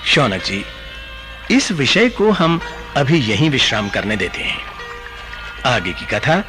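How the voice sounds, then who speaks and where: Slow, expressive sage storyteller. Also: male voice, medium pitch, faint music behind, heavily acted. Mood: instructive. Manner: formal, measured, instructive. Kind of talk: Hindi radio play storytelling.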